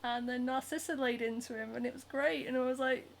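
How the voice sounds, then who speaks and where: A giggly young woman with a Northern English accent chats casually on a livestream, reading out viewer comments between lots of ums and likes. Her voice sits a little high, with a faint hiss behind it. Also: steady tone, a little fast, creaky. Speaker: cosplayer and livestream host. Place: home, hand sewing while streaming.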